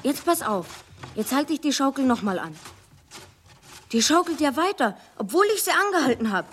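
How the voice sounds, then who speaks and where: A performer playing a bold, inquisitive young boy in a children's radio play, eager and insistent, with a husky voice. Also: high voice, sing-song, normal speed, a bit bright, slight background noise. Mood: baffled, insistent.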